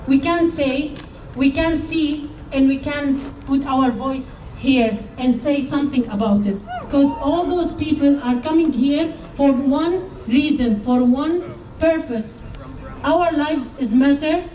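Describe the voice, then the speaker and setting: Slow, halting woman from Syria. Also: sits high, dark tone. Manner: halting, earnest. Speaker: protest speaker. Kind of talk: rally speech. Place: outdoor street protest. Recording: echoey and distant with faint noise.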